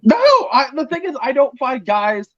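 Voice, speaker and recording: Young man, chatty and self-deprecating, very animated pitch, dull dry mic. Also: unhurried, no room sound. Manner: chatty, self-mocking, giggly. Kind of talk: casual chat on a live stream call. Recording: slightly muffled dry lo-fi mic.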